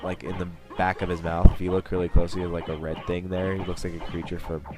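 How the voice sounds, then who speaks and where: Young male let's-play host, bass-low voice, stuffy with a cold, rambling and wry. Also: flat delivery, fast talker, loud music behind the voice. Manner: rambling, self-mocking, chatty. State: sniffling from a cold. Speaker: gaming channel host. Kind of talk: let's play commentary over gameplay.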